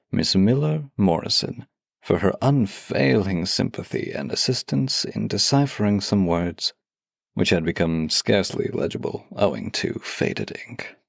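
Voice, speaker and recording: A man with a low, gravelly voice speaks as a podcast co-host, recorded very dry and close. His delivery is measured and soft-spoken, with an intimate storytelling feel. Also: no echo. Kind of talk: intimate podcast talk with a read-aloud letter.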